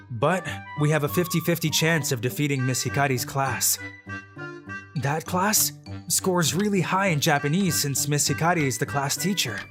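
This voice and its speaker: Understated genius schoolboy narrating plainly, mid pitch, music underneath, voiced by an actor. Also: lightly acted. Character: young male student, secretly brilliant, low-key. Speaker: narrator and lead of a manga dub. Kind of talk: first-person narration of a dubbed manga.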